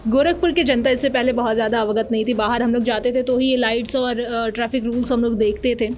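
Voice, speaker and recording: A woman's voice: fairly high pitch, fairly even intonation, quick pace, a little mellow, faint music behind. A woman hosting and asking questions in a radio studio interview. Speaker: radio show host, interviewer.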